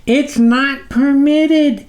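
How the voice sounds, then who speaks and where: A man voicing a high-voiced, fawning, pleading demon minion in a storybook reading. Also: steady tone, drawn-out words, darkish tone, heavily acted. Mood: anxious, fawning. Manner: obsequious, pleading.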